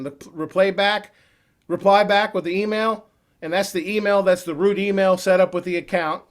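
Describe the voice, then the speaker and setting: Guy in his 40s demoing software on a webinar, kinda high voice, upbeat sales vibe. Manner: upbeat salesy walkthrough with quick asides. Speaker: software trainer. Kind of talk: screen-share training webinar.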